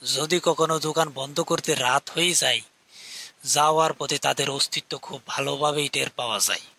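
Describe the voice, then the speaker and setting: A man's voice: fairly high pitch, brisk, vocal fry, sharp tone, heavily acted. A man voicing a curious, deferential village tea-stall keeper with a folksy manner in a cartoon. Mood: folksy, knowing.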